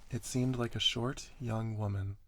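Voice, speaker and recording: A man's voice: lowish voice, steady tone, a little slow. A man reading a first-person story aloud as scripted ASMR narration. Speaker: story reader.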